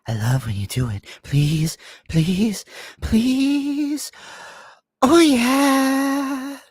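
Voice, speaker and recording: AI-converted breathy young woman from a male performer, slow drawn-out pleading, lively high pitch. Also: no room sound, clean studio sound, exaggerated voice. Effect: AI voice conversion to a female voice.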